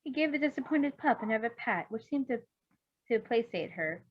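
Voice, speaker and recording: A woman's voice: a little high, lively intonation, moderate pace, breathy edge, dull audio. A woman reading fan fiction aloud as an amateur narrator, expressively and informally. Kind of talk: expressive story narration with casual asides.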